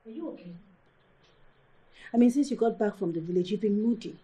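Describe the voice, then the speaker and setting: An actress playing a caring, wounded, insistent wife in a film drama, with a Nigerian accent and a pleading, questioning manner. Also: female voice, mid-range voice, fairly quick, dark tone, slightly rough. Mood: concerned. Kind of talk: naturalistic film drama acting.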